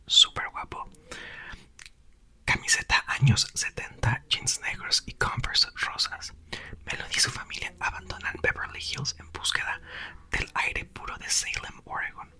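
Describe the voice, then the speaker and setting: Male ASMR reader, breathy whisper, lively bright tone. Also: very high voice. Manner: soft unvoiced whisper throughout. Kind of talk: whispered ASMR book reading.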